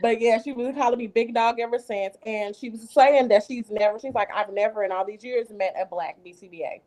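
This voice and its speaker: A woman's voice: on the high side, a little fast, a little mellow, rough recording. A woman telling a casual anecdote on a panel, animatedly retelling what people said to her. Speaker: behavior analyst on a panel.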